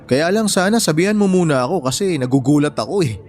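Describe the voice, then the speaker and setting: An actor playing an easygoing, helpful young bachelor in a radio drama, with casual, conversational delivery. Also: male voice, fairly high pitch, expressive, fast talker, darkish tone, natural voice. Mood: teasing, reassuring. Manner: casual, teasing, reassuring. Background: music bed.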